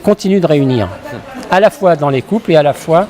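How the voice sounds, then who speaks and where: A man's voice: fairly high pitch, lively intonation, brisk, darkish tone, slight background noise. Chatty man in his sixties, crowd behind. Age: 60s. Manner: chatty, repeats words while searching. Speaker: fan at a convention. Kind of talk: casual interview. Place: busy convention floor.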